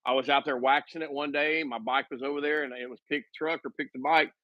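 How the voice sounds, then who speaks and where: A man's voice: mid-range voice, fairly even intonation, dry recording, dull audio. A man in his fifties, a guest making casual conversation, who often restarts his sentences and jokes at his own expense. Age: 50s. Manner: halting, frequent restarts; self-mocking humor.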